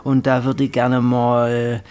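A man's voice: medium pitch, completely flat delivery, takes it slowly, a little mellow, clean tone, lightly acted. Tired sergeant played by a man. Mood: weary, polite. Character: tired, plain-spoken male Swabian army sergeant. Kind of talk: naturalistic radio drama acting. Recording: faint music behind the voice.

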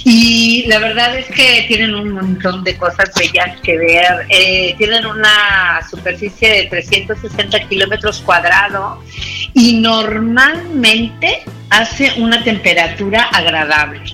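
Bright Mexican woman chatting on radio. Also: medium pitch, faint music behind, rough recording. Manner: chatty, slows down when giving facts, corrects herself. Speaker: travel segment co-host. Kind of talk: casual radio chat. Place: remote from the studio.

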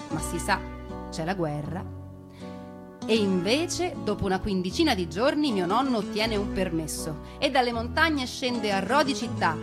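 High-voiced woman, theatrical storyteller over music. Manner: theatrical, deliberate, conversational asides. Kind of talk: dramatic scripted stage narration. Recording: music behind a radio theatre performance.

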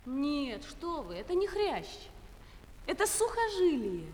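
An actress playing a prim know-it-all waitress who explains everything like a textbook, slowly and condescendingly, in a stage comedy sketch. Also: female voice, sits high, big pitch swings, darkish tone, full character voice. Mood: lecturing. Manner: pedantic, slow lecturing, condescending checks. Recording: live stage, hall reverb, faint noise.

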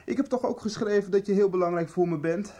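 An actress playing a confused, emotional teenager in a Dutch radio soap. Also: female voice, medium pitch, quick pace, warm tone, natural voice. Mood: pleading, frustrated.

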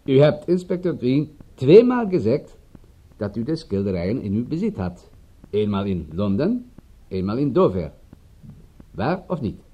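Donkere, aarzelende Franse inspecteur. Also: male voice, medium pitch, big pitch swings, warm tone. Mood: pressing. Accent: French. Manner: halting, polite speech with inverted word order. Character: courteous, hesitant French police inspector. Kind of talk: radio drama acting.

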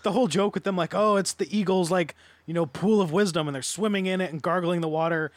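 A man with a fairly high voice talks as a podcast co-host. He sounds informal and opinionated in casual group discussion, recorded without any room sound. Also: fast, no room sound. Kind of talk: casual panel discussion of films.

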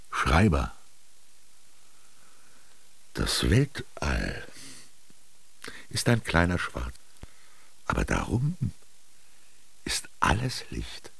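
An actor playing a dying ancient Egyptian scribe in a radio drama, solemn and declamatory with a gravelly, raspy voice. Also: male voice, extremely low pitch, no echo, exaggerated voice. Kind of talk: slow theatrical radio drama monologue.

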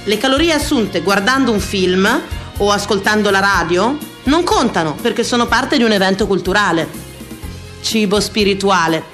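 An actress playing a wry, self-mocking woman eternally on a diet, declaiming in a comic theatrical radio monologue with dramatic pauses. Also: mid-range voice, animated, a bit bright, lightly acted. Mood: mock solemn. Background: music.

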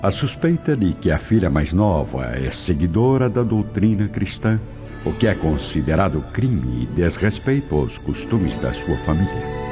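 A male narrator reads a scripted radio drama in a deep, dark voice. His intonation swings expressively, with music behind him. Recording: dull lo-fi audio.

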